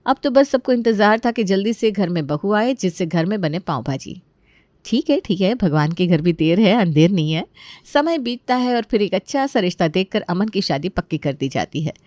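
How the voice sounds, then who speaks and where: A woman narrating a family cartoon story in an expressive, conversational storytelling style. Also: medium pitch, normal speed, no room sound. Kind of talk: animated Hindi storytelling narration.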